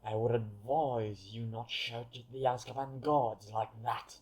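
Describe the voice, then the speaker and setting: A coldly smug aristocratic villain played by a man in an audio drama. His dark, mid-pitched voice is silky and formal, looking down on everyone he addresses. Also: fairly even intonation, measured pace, husky. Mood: smug menace. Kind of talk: fan audio drama acting.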